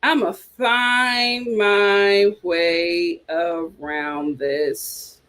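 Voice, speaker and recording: A woman's voice: fairly low pitch, little pitch movement, very slow, a bit bright. A woman hosting a casual reality TV reaction chat, talking back at the screen. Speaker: reality TV commentary host.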